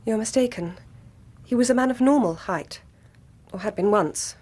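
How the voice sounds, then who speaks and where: An actress playing an earnest, troubled young gentlewoman in a radio drama, speaking gravely and formally. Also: fairly high pitch, normal speed. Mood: guarded.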